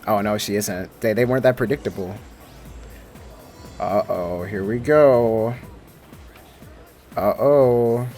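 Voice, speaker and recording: A man's voice: on the low side, slow, pauses often, darkish tone, soft background music. Casual, slangy young-sounding male gaming streamer. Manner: informal, slangy, enthusiastic. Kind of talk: casual let's play commentary.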